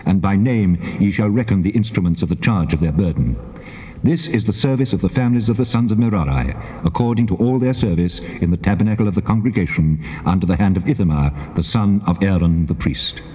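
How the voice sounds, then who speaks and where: Deep, reverberant actor as the commanding God. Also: male voice, dark tone, close to an everyday voice. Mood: solemn, commanding. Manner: measured, stately, authoritative. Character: solemn, commanding God giving instructions to his people. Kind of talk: dramatized scripture reading in archaic English. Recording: muffled cassette with long cavernous reverb.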